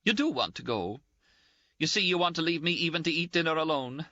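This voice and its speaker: Guy doing a weepy scolding nurse lady, high and wobbly, sobs and snaps, dull dry sound. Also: lively intonation, fairly quick, pushed and bright, no room sound. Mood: distraught. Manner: shrill, sobbing, scolding. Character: tearful scolding Scottish nurse, young woman. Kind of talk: audiobook character voice.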